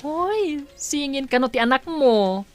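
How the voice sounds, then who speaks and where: A woman's voice: high-pitched, expressive, takes it slowly, soft background music, pitched above the performer's own voice. A woman playing a shocked, scolding village woman in a radio drama, exclaiming reproachfully.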